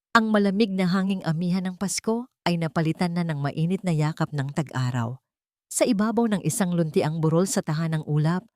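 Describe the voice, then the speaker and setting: Low-voiced woman narrating, very expressive. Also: no room sound, studio quality. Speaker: audiobook narrator. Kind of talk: scripted story narration.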